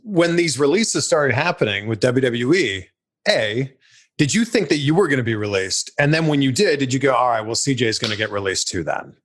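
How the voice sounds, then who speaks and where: A man's voice: mid-range voice, expressive, fairly quick, fairly bright tone. A man interviewing a guest, asking his questions in a friendly, conversational way.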